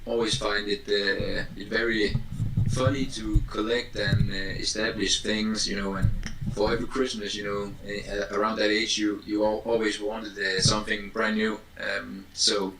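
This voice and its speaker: A man in his twenties from Denmark with a Danish accent, answering interview questions casually in hesitant, halting English as a non-native speaker. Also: lowish voice, flat delivery, bright, forceful tone. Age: 20s. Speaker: interview guest. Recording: roomy, distant mic with faint noise.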